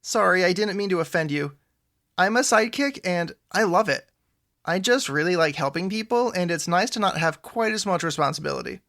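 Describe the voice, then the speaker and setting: A man's voice: sits high, animated, fairly quick, creaky, bright, forceful tone, full character voice. A man playing an earnest, awkward, chatty sidekick hero in an audio drama. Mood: eager, friendly. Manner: chatty, earnest, self-effacing.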